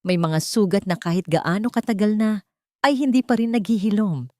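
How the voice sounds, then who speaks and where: A woman's voice: medium pitch, normal speed, a little mellow, dry recording, voice pushed up, lightly acted. Cautious old family-friend businessman played by a female narrator, grave and careful, audio drama, dry sound. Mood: guarded, warning. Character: cautious elderly businessman, warm but guarded uncle.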